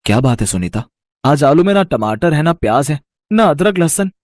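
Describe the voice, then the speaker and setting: A man's voice: a little high, sing-song, moderate pace, a little mellow, dry recording, studio quality. A man voicing a principled, reproachful young husband in a cartoon moral story.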